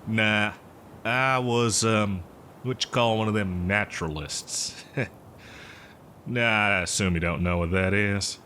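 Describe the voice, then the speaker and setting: A man's voice: lowish voice, steady tone, sharp tone, a little hiss or hum. A man playing an eccentric, cheerful botanist who survived from before the apocalypse, rambling hesitantly in a folksy roleplay monologue. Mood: musing, explanatory. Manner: hesitant, rambling, folksy, with chuckles and drawn-out words. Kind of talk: roleplay audio drama monologue addressed to listener.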